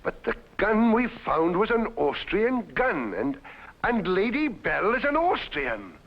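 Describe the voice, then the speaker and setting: An actor playing a stolid, plodding, officious police inspector in old-time radio drama, speaking in a formal, blunt way. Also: male voice, sits high, very animated, measured pace, creaky, full character voice. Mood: exasperated. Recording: dull vintage radio recording with music.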